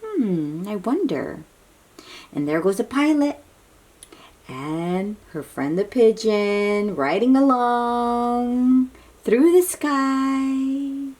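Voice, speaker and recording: A woman reading a picture book aloud to young children in an animated, breathy, questioning way, drawing out her words. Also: fairly high pitch. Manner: animated, drawn-out, questioning delivery aimed at little children. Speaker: children's read-aloud host.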